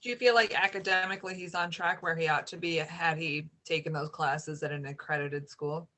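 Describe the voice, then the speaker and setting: A woman's voice: sits low, fairly even intonation, fairly quick, bright, forceful tone. A woman on a school board asking direct questions with a teasing edge. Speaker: board member.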